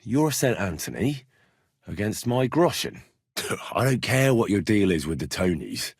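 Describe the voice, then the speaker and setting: Young medieval adventurer for a game: blunt and earthy, quick to threaten, husky voice, very mobile pitch, dry studio sound. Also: male voice, sing-song, dry recording, exaggerated voice. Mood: bargaining, wry. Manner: blunt, earthy, sweary, conversational. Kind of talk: video game dialogue acting.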